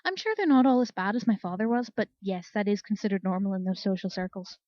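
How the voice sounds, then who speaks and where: A kind young earth pony mare read by a woman in a storybook tale. She speaks gently and earnestly with a steady temper, in a dry, somewhat dull recording. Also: mid-range voice, quick pace, no echo, lightly acted. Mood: reassuring. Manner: soft, earnest.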